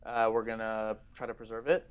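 A man's voice: fairly low pitch, flat delivery, fairly quick, smooth. A man co-hosting a casual podcast, explaining points emphatically with repeated starts. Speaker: podcast co-host.

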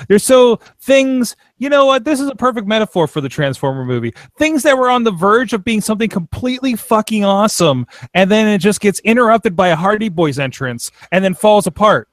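A man with a notably high voice co-hosts a casual podcast. He talks haltingly, repeating phrases for emphasis. The recording is close and dry, with little room sound. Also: expressive, no room sound. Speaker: podcast co-host. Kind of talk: casual wrestling chat.

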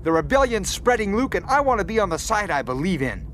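A man's voice: sits high, sing-song, fast, fairly bright tone, slight background noise. An actor in a radio drama playing an earnest, bold young man urging his friend on. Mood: resolute.

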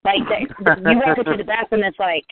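An American woman in her forties with a squeaky voice, chatting casually as a podcast host and telling stories in an animated, self-deprecating way. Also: a little fast. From Cincinnati, Ohio, United States. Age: 40s. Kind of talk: casual chat. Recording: thin phone-like audio, dry.

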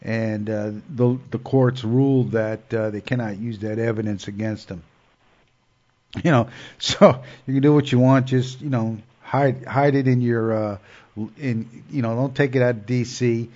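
A man's voice: medium pitch, fairly even intonation, measured pace, darkish tone. A man with a cold and a stuffed-up nose, hosting a show in a rambling casual monologue full of fillers and restarts. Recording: dull lo-fi sound.

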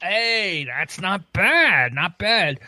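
A man co-hosting a casual comedy show, haltingly reading out the news and repeating himself. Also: high voice, very animated, sharp tone, no echo, clean studio sound.

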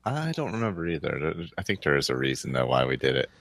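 A man's voice: extremely low pitch, very animated, quick pace, fairly bright tone, no echo. A man chatting casually as a panelist on a roundtable podcast. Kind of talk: casual chat.

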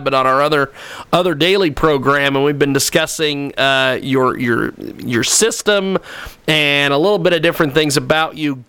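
A man hosting a radio talk show, introducing a guest in a halting, casual way with many restarts. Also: fairly high pitch, bright, forceful tone, clear, resonant tone, dry recording.

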